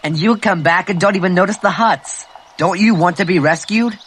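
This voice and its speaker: A man's voice: high-pitched, moderate pace, fairly bright tone, slight background noise, voice pushed up. A man voicing a tired, frustrated teenage boy leader who complains wearily. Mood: angry.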